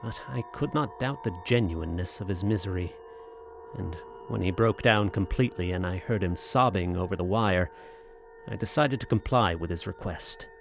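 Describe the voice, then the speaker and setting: A proper, skeptical gentleman for an audio drama. His voice sits fairly low and his diction is formal and measured, that of a sensible friend. Some background noise is audible behind him. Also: gravelly. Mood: sober.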